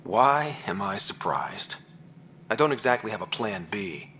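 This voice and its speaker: A man's voice: lowish voice, animated, a little slow, pushed and bright. An actor playing a determined, wry young man who leads explorers in a sci-fi video game. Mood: frustrated. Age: young adult. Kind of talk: naturalistic video game cutscene acting. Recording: long echoey reverb, faint music behind.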